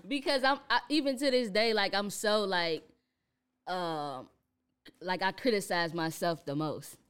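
A young woman with a Chicago accent, a rapper talking casually in an interview, relaxed and laughing often. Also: mid-range voice, measured pace, clean tone. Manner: laid-back, laughs often, many fillers. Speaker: rapper guest.

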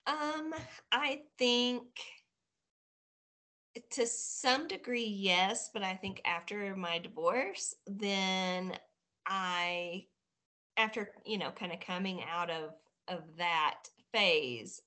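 A woman, a podcast guest chatting casually and reflectively, with many pauses and fillers. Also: medium pitch, pushed and bright. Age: 40s. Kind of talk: casual chat.

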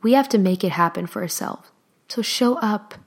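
Solo podcast host, young woman, passionate and encouraging, a touch hoarse, speaking into a studio mic. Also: mid-range voice, expressive, normal speed. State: voice slightly hoarse. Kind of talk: motivational solo podcast talk. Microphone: studio microphone.

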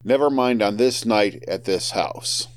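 An earnest, literal-minded man, played by an actor in an audio drama. His voice is a bit low and somewhat stiff, with a dry, roomless sound. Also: unhurried, dry recording, natural voice. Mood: earnest, firm.

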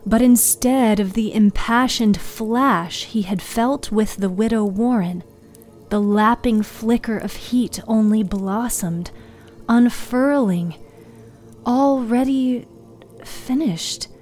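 A woman narrating scripted fiction in a hushed, deliberate manner. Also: fairly high pitch, soft background music. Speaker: podcast writer and narrator.

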